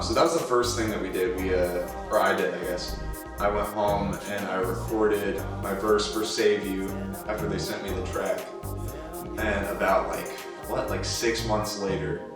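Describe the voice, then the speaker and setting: A man's voice: deep voice, unhurried. A man producer casually rambling about recording an album, with many fillers. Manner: relaxed, rambling, lots of fillers and swearing. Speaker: music producer. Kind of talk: casual documentary interview. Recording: very echoey room, distant mic, music underneath.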